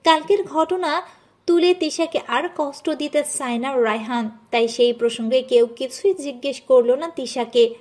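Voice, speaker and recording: A woman narrator with a high voice. She delivers scripted storytelling, carrying the tale between the acted dialogue. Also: moderate pace, darkish tone.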